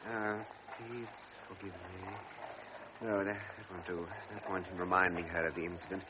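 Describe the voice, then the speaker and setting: An actor playing an awkward, apologetic young cavalry lieutenant who stammers, in a radio drama. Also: male voice, low voice, flat delivery, normal speed, background noise, close to an everyday voice. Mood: hesitant. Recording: dull old radio broadcast.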